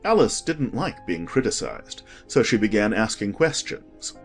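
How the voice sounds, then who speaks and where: A man narrating a children's story as an expressive, scripted audiobook reading. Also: fairly low pitch, measured pace, husky, faint music behind.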